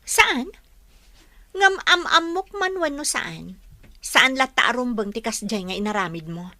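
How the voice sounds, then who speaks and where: A frank young sweetheart in a radio soap, with a sharp, bright voice on the high side. Her delivery is very lively and pointed. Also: measured pace, no room sound, exaggerated voice. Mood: reproachful. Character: frank young woman being courted, reproachful.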